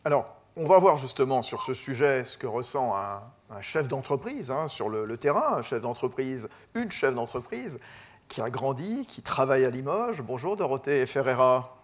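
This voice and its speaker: A man's voice: medium pitch, sing-song, normal speed. A male host moderating a debate, asking probing questions with many fillers.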